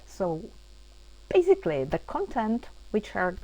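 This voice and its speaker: A woman hosting an online webinar in English, speaking haltingly with many fillers and restarts over a computer mic on a video call. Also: mid-range voice, huge pitch swings, mellow, poor audio. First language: Czech. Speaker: webinar host and project coordinator. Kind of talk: hesitant opening of an online webinar.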